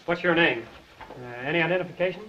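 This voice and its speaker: Bright-voiced, rule-bound telegraph clerk. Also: male voice, on the high side, fast. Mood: official, apologetic. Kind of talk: 1930s film acting. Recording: muffled, hissy 1930s film soundtrack.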